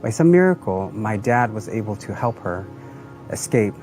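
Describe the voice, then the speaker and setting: A man's voice: lowish voice, animated, normal speed, darkish tone. A man giving an earnest personal testimonial, telling his story plainly in short phrases. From Southeast Asia and the United States. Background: music.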